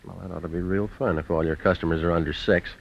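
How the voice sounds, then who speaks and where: An actor playing a cynical, wisecracking private detective with deadpan, clipped delivery in a 1940s radio noir drama. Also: male voice, sits low, fast, gravelly. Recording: dull old radio recording with music.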